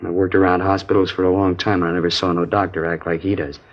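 A low-pitched working-class male nurse, played by an actor spills what he knows in quick, colloquial phrases. He sounds confiding and slightly nervy, in old radio drama style. The recording is muffled and dated. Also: little pitch movement, natural voice. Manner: colloquial, confiding, plain-spoken. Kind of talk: 1950s radio drama acting.

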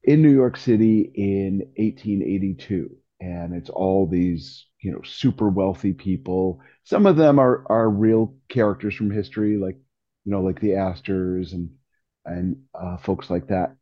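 A man's voice: sits low, unhurried. Man in his sixties, dull lo-fi audio. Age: 60s. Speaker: discussion participant. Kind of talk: casual group chat.

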